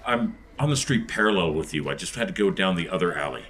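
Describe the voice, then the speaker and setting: A man with a fairly low, very animated voice plays a tabletop game. He improvises casually in character, and some noise sits behind him. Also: fast.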